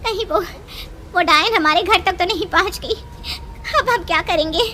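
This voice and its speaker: Cartoon village mother, played by a woman: piercingly high and bright, anxious, quick to scold. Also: slight background noise. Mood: frightened. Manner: fretful, scolding. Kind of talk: animated storybook drama acting.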